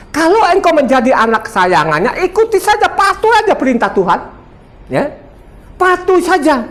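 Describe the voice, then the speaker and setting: A man in his seventies from Sumba, speaking. He preaches a combative, boastful sermon, laughs often and quizzes his listeners. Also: sits high. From Sumba, Indonesia. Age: 70s. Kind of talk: sermon to a congregation.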